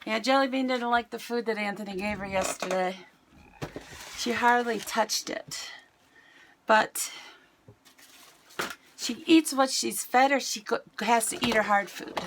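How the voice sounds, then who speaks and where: A middle-aged American woman with a raspy voice, chatting casually to camera in a home vlog. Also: on the high side, noisy background. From United States. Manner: chatty, rambling, self-mocking. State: raspy voice today. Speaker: vlogger. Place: home, indoors.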